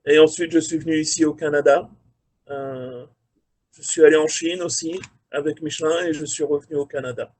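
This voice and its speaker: Frenchman, forties, career mentor, slightly higher voice, fills pauses with "euh". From France. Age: 40s. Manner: relaxed, hesitant with many fillers. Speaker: career mentor, quality manager. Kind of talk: video call interview. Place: his office at a factory. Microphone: video call.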